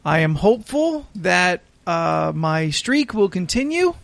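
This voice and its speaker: A man's voice: high-pitched, sing-song, takes it slowly, vocal fry, a bit bright, no echo. A man hosting a podcast in a casual, comedic chatting style. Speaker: podcast host.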